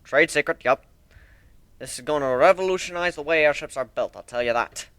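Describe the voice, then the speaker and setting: A man's voice: on the high side, a little fast, a bit bright, no room sound. A man voicing a boastful, hearty minotaur airship builder in a dramatic story reading, with a brash, folksy way of talking. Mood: boastful, explaining. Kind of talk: dramatic fan fiction reading.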